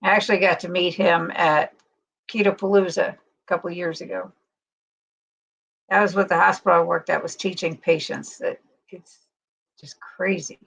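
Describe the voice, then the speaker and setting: A woman's voice: fairly low pitch, gravelly, fairly bright tone, breathy edge, low-quality recording. A woman hosting a live stream, chatting enthusiastically and reading out viewer comments.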